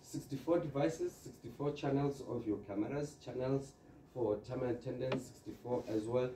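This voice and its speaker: A man leads an informal product training session in an explanatory way. His voice is very dark and sits back from the microphone in a reverberant room. There is faint noise behind him as he talks through practical examples. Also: medium pitch, moderate pace. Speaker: trainer presenting security products to installers. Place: indoor presentation room with large screen. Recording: dark, echoey, distant room recording with noise.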